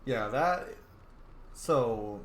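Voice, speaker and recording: A man's voice: medium pitch, animated, drawn-out words, reverberant, a little hiss or hum. A man playing a tabletop roleplay, reacting to a story with laughs, swearing and chatty asides. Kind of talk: casual chat reacting to a story.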